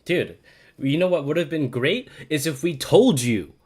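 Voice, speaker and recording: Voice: mid-range voice, lively intonation, a little slow, a little mellow, slightly rough. A game streamer giving casual live commentary, swearing freely and playfully, shifting between muttering and exclaiming. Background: game music.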